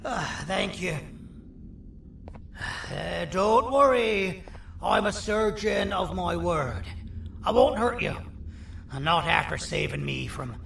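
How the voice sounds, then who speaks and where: A sinister video game monster surgeon, speaks slowly in a bright, cutting voice with theatrical condescension. He sounds scheming and self-important, every phrase heavy with disdain, heard in a roomy, echoing space. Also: high voice, gravelly, heavily acted. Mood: smugly courteous. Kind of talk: video game villain character acting. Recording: roomy echo, distant, faint noise.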